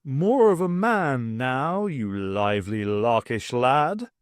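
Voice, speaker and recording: A man voicing a jaunty, flattering pet cat in an audiobook, with theatrical, old-fashioned pantomime phrasing. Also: mid-range voice, slow, clean tone, dry recording, clean studio sound. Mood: cheery, coaxing.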